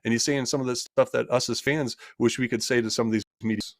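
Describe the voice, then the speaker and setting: A man's voice: mid-range voice, steady tone, fast, dry recording, clean studio sound. A man co-hosting a casual sports talk show, rambling with many restarts and hedges. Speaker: co-host.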